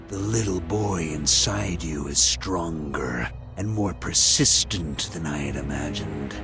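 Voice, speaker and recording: A grandiose male villain scientist from a video game, speaks in a deep voice at a slow, savoring pace. He is cold and theatrical, swelling with self-importance. The sound is very echoey. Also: heavily acted. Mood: cold, menacing. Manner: slow, deliberate, savoring monologue with dramatic swells. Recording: echoey, music underneath.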